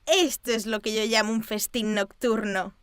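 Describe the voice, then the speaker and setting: A woman voicing a cheeky, excitable young girl in an animated children's cartoon, playful and exaggerated. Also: on the high side, moderate pace, noisy background, close to an everyday voice. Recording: music behind the voice.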